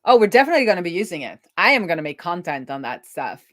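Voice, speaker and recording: Woman, low voice, dry close sound, casual coding host, think-aloud delivery, rephrases as she goes. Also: very animated, quick pace, dry recording. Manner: thinks out loud, trailing and rephrasing. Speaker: host, web developer live coding.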